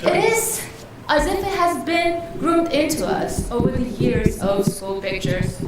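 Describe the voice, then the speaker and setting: A woman acting an exasperated, high-voiced woman in echoey hall. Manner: indignant, emphatic, deliberate theatrical delivery. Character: exasperated working woman fed up with being told to smile. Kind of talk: stage monologue. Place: indoor live performance space. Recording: roomy echoing hall, distant mic, faint noise.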